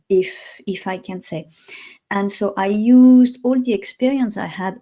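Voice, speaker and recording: A woman from France with a French accent, a native French speaker talking in English as a guest, thoughtful and pausing often to find the right words. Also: medium pitch, dark tone. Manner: thoughtful, pauses to search for words. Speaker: guest, communication specialist. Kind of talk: interview conversation.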